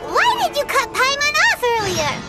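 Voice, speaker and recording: A woman voicing a tiny, childlike fairy companion in a video game, curious and outspoken, with a squeaky voice and music behind. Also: huge pitch swings, moderate pace, heavily acted.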